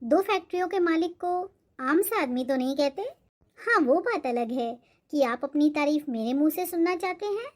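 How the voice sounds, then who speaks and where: A spoiled young cartoon heiress performed by a woman with a very high, girlish voice. She speaks with haughty, coquettish confidence. She boasts and makes demands as if she expects to get her way. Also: expressive, normal speed. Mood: teasing, haughty. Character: spoiled, boastful rich young bride-to-be Radhika. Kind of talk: Hindi cartoon moral-story voice acting.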